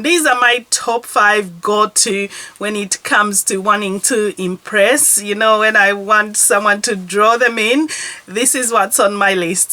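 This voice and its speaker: A woman's voice: mid-range voice, bright, forceful tone. A woman reviewing her perfume collection to camera in a chatty, gushing, enthusiastic way. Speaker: fragrance vlogger. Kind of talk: casual product review to camera.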